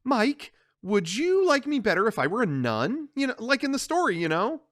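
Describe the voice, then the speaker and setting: Young woman figure, teasing and sweet, done by a man in falsetto, dry mic. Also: very animated, moderate pace, a bit bright, no room sound, heavily acted.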